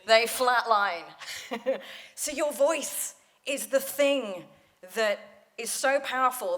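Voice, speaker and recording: A woman speaking coach leading a conference panel, energetic and storytelling, laughing often. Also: fairly high pitch. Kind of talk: lively panel talk. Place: conference hall stage.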